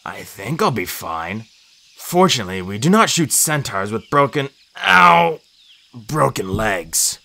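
A man's voice: medium pitch, sing-song, moderate pace, few pauses, a bit bright. A man voicing a wounded old centaur mentor in a storybook, formal and stoic in manner. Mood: pained, embarrassed. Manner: formal, insistent, wincing.

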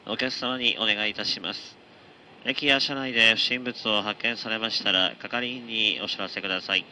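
A man's voice: on the low side, fairly even intonation, normal speed, bright, forceful tone, a little hiss or hum, poor audio. A man working as a train conductor, giving formal, polite, routine announcements over the public address.

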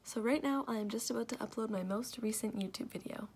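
A teenage girl from Canada with a hoarse, lost voice, chatting casually in a vlog to a handheld camera. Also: fast talker, a little hiss or hum. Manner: low and half-whispered at home, louder and livelier on the go. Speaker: vlogger. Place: bedroom, car and school. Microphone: handheld camera at arm's length.

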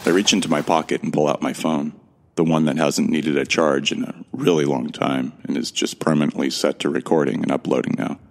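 A man's voice: extremely low pitch, level tone, a little fast, vocal fry. A man narrating an audio drama in the first person, earnest and bewildered. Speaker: narrator and lead actor.

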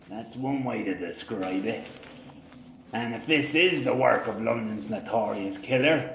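A man's voice: medium pitch, fairly bright tone. Man acting a cynical police captain, echoey room. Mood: dry, muttered. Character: gruff, cynical, corrupt 19th-century male police captain. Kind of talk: audio drama, reading aloud and noir narration.

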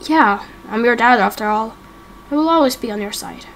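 A woman playing a gentle, worried, reassuring father in a game roleplay, with some background noise. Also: fairly high pitch, moderate pace, pitched below the performer's own voice. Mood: gentle, concerned. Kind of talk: amateur game roleplay acting.

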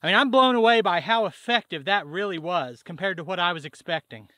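Chatty bright-voiced man, high pitch. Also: a little fast, dry recording. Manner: chatty, explains step by step. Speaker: farm vlogger. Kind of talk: casual how-to vlog. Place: outdoors at a farm, by a garage and a pond.

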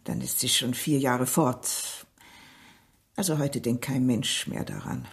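A woman's voice: very deep voice, steady tone, slow pace, gravelly, heavily acted. An actress playing a guarded, uneasy middle-aged village mother in a German radio play, terse and defensive in her answers. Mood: anxious, defensive.

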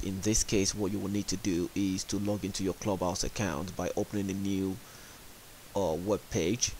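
A man with a very deep voice presents a step-by-step tech tutorial. He speaks in a plain, instructional manner with some hesitation. The voice-over is a home recording with a faint hiss running under it. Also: darkish tone, poor audio. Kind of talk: step-by-step screen tutorial narration. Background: clear background hiss.

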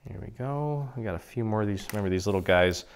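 A man with a slightly hoarse voice from a cold, walking through a paper craft project in a relaxed, chatty way. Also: on the low side, fast talker. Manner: relaxed, chatty, clears his throat now and then. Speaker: craft tutorial host. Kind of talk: casual step-by-step crafting instruction. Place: indoor craft table.

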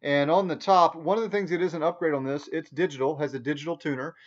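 A man's voice: steady tone, fast talker. A man in his forties giving a casual, folksy, unscripted product review to camera in a small room at home. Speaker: product reviewer, video host. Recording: dull, muffled low-fi sound.

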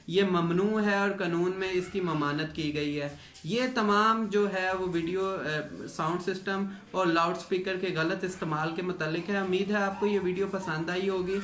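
A man in his thirties with a Pakistani accent, a lawyer explaining law to camera in a formal, halting way with frequent pauses. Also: high-pitched, a bit bright. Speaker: lawyer presenting legal advice. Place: small tiled office, indoors. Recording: echoey room with noticeable background noise.

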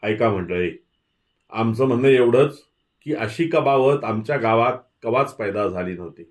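A man's voice: mid-range voice, expressive, measured pace, close to an everyday voice. A man voicing a stern, proud village headman with a commanding rustic manner in a story reading. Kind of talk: dramatic audiobook character voice.

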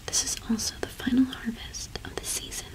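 A young woman whispering an ASMR roleplay, explaining gently and softly with unhurried pauses. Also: low-quality recording. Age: young adult. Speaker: ASMR host in a cottage witch roleplay.

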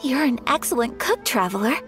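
Game noblewoman, voiced by a woman: young, high, soft, gracious and sincere, music behind. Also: animated, brisk, lightly acted. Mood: polite, pleased. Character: gentle, refined young noblewoman, polite and sincere. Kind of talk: video game character dialogue. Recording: background music under the voice.

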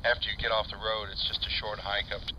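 A man's voice: on the low side, flat delivery, fast talker. A man heard through a two-way radio, chatting casually in a folksy, hesitant way as an amateur operator. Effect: voice heard over two-way radio speaker. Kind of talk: casual ham radio chat. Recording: distant muffled radio speaker, heavy noise.

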